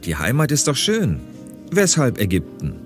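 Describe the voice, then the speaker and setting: A man plays a friendly, casual police pilot in an audio drama. The voice is mid-pitched and very varied in melody, with faint music behind it. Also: wildly swooping pitch, moderate pace. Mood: casual, dry. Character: easygoing male police pilot, friendly, dry colleague. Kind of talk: German audio drama acting.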